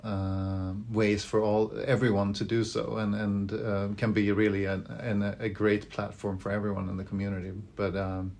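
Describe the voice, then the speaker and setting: A man in his thirties with a Swedish accent, answering interview questions. His voice sits low and stays fairly flat. He pauses often and restarts his sentences as he thinks. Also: vocal fry. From Sweden. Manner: thoughtful, hesitant with frequent fillers and restarts. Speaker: library director.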